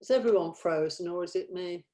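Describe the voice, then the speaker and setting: A woman's voice: on the low side, a little fast, mellow, a little hiss or hum. A woman chatting casually in an online meeting, as the writer among colleagues. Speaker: writer of the script.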